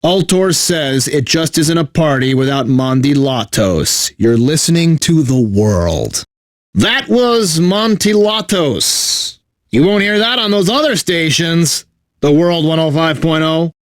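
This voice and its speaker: A man's voice: a little high, expressive, slow, dry recording, clean studio sound. A man playing a bitter, burnt-out corporate radio DJ in a video game, mixing announcer patter with sarcastic, exasperated asides. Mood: forced radio cheer. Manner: exaggerated announcer delivery with sardonic, exasperated asides.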